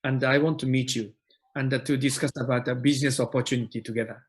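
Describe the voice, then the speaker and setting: A man with a Japanese accent, a native Japanese speaker, hosting a conversational phone interview in a halting way. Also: medium pitch, steady tone. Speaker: interviewer, host. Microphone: phone call.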